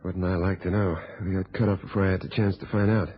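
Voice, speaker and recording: Puzzled houseguest in old radio drama, really deep gravel-husky voice, hesitant, muffled sound. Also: male voice, fairly even intonation, quick pace. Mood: exasperated. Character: puzzled male visitor, easygoing but increasingly exasperated. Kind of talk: radio thriller acting.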